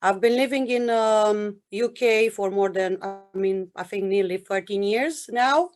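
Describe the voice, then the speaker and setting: A woman's voice: medium pitch, a little slow, darkish tone, faint music behind. A woman from Romania, a student and former teacher, introducing herself hesitantly with many "um" fillers. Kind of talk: self-introduction.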